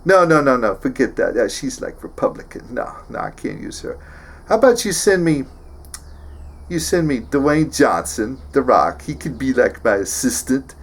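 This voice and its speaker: A man playing a slow-witted, tough action-movie hero who stammers and mumbles through favours and boasts in a comedy sketch. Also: mid-range voice, sing-song. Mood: dim-witted bragging. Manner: halting, mumbling, stammering braggadocio.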